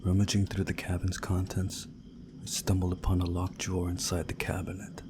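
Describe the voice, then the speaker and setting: A man reading a visual novel story aloud in a measured, dramatic storytelling way, hushed at times. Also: low-pitched, monotone, slight background noise. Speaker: video game playthrough narrator.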